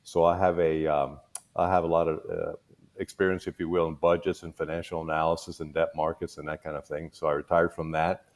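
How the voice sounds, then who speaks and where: A man in his sixties, born in Cuba, talking about himself as a school board candidate in a relaxed way, with many pauses and fillers. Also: rumbling low. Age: 60s. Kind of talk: casual panel chat.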